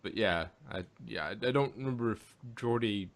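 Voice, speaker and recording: A man hosting a casual review podcast, talking in a halting way and circling back over his own phrases. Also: fairly low pitch. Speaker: podcast host reviewing audio drama. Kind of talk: casual chat about sci-fi.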